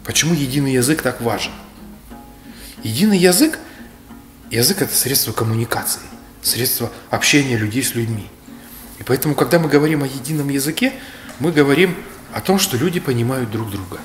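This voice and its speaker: Man in his 30s from Kalmykia, measured lecturer, roomy echo with faint music behind. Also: mid-range voice, animated. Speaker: speaker on history. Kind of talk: reflective explanatory talk. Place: bright indoor room.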